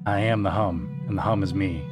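Brief: man with a low voice, brooding horror narration, loud guitar music behind. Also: a little fast.

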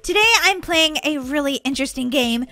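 A woman's voice: sits high, animated, normal speed, bright, forceful tone. A woman hosting a gaming video with exclamatory, giggly, kid-friendly commentary. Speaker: gaming channel host. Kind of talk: playful gameplay commentary. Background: background music.

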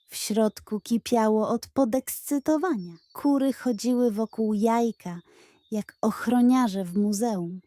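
A woman's voice: medium pitch, dark tone, dry recording. A woman narrating a scripted children's bedtime story as the storyteller.

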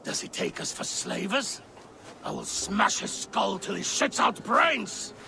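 A man playing a brash, hot-tempered Norse thunder god in a video game, gravelly and blunt. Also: sits high, animated, measured pace, noisy background, heavily acted. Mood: gruff scorn. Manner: blunt, bellowing battle cries and threats. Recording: music behind the voice.

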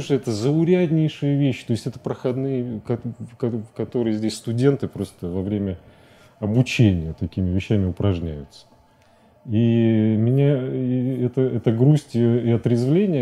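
A man in his forties talking casually as a studio interview guest, colloquial and halting as he thinks aloud. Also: mid-range voice, dark tone. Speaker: interview guest, animation filmmaker. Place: TV studio, indoors.

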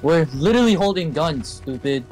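A man's voice: fairly high pitch, lively intonation, normal speed, a little mellow, faint music behind, low-quality recording. A man improvising blunt, slangy roleplay chat in a video game. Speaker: roleplay player.

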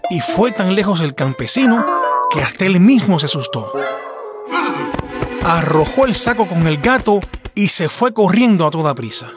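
A male narrator tells a children's folk tale in a scripted storytelling style. His pitch moves in lively, wide swings, and music plays beneath him. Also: normal speed.

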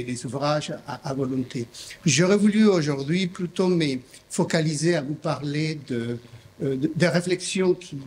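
A man's voice: fairly high pitch, slight background noise. A middle-aged man from Mexico presenting his publishing house at a conference in a large hall, speaking unscripted with many fillers and restarts into a desk mic. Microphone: desk microphone.

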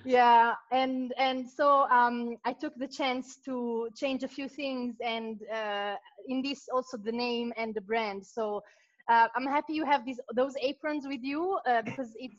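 A woman's voice: on the high side, steady tone, measured pace. A woman hosting an online cooking class from her kitchen, laughing often and restarting her sentences. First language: Italian. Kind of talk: video call group chat. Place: home kitchen. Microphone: video call laptop mic. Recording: dull lo-fi video call audio.

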